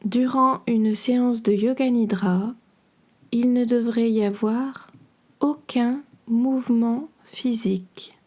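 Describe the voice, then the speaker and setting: A woman guiding a relaxation meditation in a soothing, measured, instructional way, her soft voice turning breathy at the ends of phrases. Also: fairly high pitch, fairly even intonation. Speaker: podcast host and yoga nidra guide.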